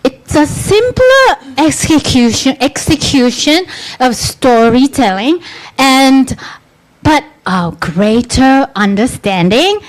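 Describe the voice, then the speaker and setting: A woman's voice: sits high, sing-song, takes it slowly, exaggerated voice, rough recording. A woman playing a hesitant helper. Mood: earnest. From Japan. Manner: hesitant, many ums and restarts. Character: earnest Japanese-raised woman devotee helping out. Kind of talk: comedic stage skit.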